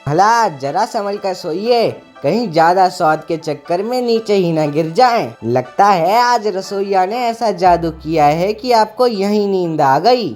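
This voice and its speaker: A woman's voice: mid-range voice, animated, measured pace, hardly any pauses, a little mellow, faint music behind, close to an everyday voice. A woman voicing a wry, teasing royal minister in a Hindi comedy cartoon. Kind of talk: Hindi animated comedy acting.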